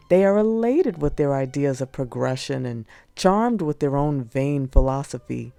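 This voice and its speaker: Low, mellow woman reading a religious audiobook. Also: dry recording. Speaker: audiobook narrator. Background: music.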